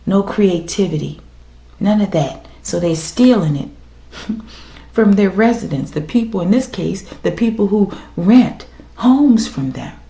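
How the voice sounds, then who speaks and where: A woman with a raspy voice doing a casual tarot reading for her viewers, speaking emphatically and often checking in with "okay?". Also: mid-range voice, dark tone. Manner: emphatic, repeats "okay?" to the audience. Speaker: tarot reader. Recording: echoey room with faint noise.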